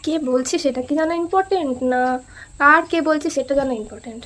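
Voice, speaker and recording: A young woman talks extremely fast in a high voice. She is teasing and insistent, like a prank caller pushing a casual chat along. There is clear background noise on the recording. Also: fairly bright tone. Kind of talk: casual prank phone chat with asides to viewers.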